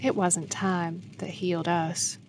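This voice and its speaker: A woman's voice: fairly low pitch, level tone, slow, a little hiss or hum. A woman playing an older wife and grieving mother, frank and consoling, in an audio drama. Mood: sombre, consoling.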